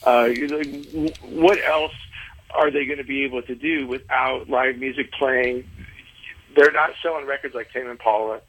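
A man being interviewed as a guest on a radio show, explaining things earnestly in halting phrases with repeated words. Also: medium pitch, fairly even intonation, fairly bright tone, slightly rough. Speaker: interview guest, independent venue organizer. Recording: tinny phone-like line.

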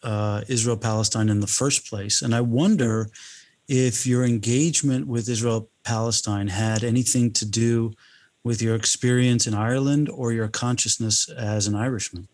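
A man hosting a podcast, reading an announcement and asking questions in a measured, lightly joking way. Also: medium pitch, steady tone, a little mellow. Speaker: podcast host.

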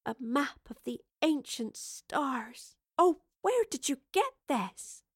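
A woman's voice: medium pitch, a little slow, dry recording, natural voice. Storybook role: female narrator as kindly, weary old woman, colloquial and wistful, dry close sound. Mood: awed, wistful. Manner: homely, colloquial, wistful speech. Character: Evie, weary kindly working-class old woman of far future.

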